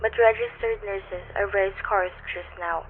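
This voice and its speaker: A woman's voice: mid-range voice, steady tone, normal speed, natural voice. A woman playing a polite, formal hospital switchboard receptionist in a radio drama, courteous and officious. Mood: officious, detached. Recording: narrow tinny phone-like sound, faint music.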